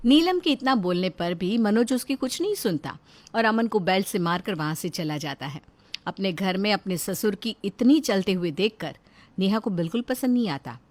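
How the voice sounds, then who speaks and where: A woman's voice: mid-range voice, animated, normal speed, darkish tone, dry recording. A woman storyteller reading a scripted narration for a moral family story.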